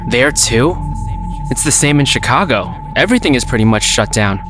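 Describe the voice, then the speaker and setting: A man's voice: mid-range voice, expressive, moderate pace, over music, natural voice. A man playing an easygoing teenage schoolboy in an audio drama, with a casual, understated delivery. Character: teenage schoolboy, easygoing, matter-of-fact, with hidden powers.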